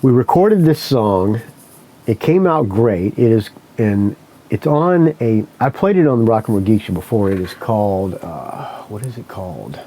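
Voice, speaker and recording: A man's voice: lowish voice, expressive, a little slow, mellow. A man hosting a podcast, telling a story in a casual, rambling way, correcting himself often and swearing freely. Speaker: podcast host.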